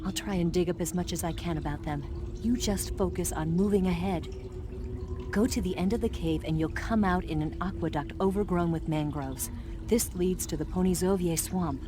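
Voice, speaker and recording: A woman's voice: lowish voice, normal speed, lightly acted. A woman playing a cool, self-assured spy in a video game, confiding and matter-of-fact as she guides a soldier. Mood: cool, concerned.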